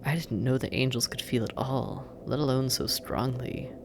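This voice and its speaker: A performer in a roleplay audio drama voicing a sardonic, teasing demon captor who drawls and murmurs with heavy pauses. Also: medium pitch, creaky, background noise, lightly acted. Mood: shaken, demanding. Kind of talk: scripted roleplay audio drama, intimate second-person address.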